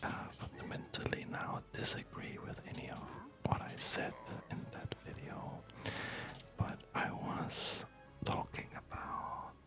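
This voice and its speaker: An ASMR gaming host speaking in a soft unvoiced whisper, rambling in a reflective, hesitant way, close to the microphone. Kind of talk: ASMR, unscripted rambling over gameplay. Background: music.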